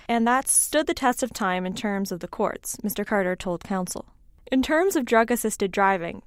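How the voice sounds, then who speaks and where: Woman reading the news, clear smooth voice, super dry close sound. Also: fairly high pitch, no room sound. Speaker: news reader. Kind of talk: scripted news narration.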